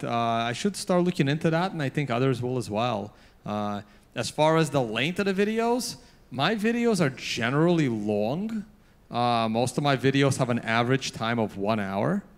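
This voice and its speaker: A man speaking as a YouTuber on a conference panel, hesitant with many fillers, into a handheld mic on a large hall stage. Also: medium pitch. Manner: halting, many fillers and restarts. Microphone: handheld microphone.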